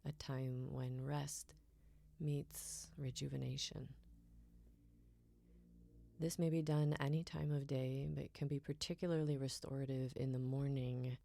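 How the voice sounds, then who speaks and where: A woman leads a guided relaxation in a low, mellow voice with very heavy creak. She speaks softly and soothingly, letting phrase endings fade into breathy near-whispers. Her delivery is unhurried and gentle. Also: no room sound, studio quality. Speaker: yoga nidra guide.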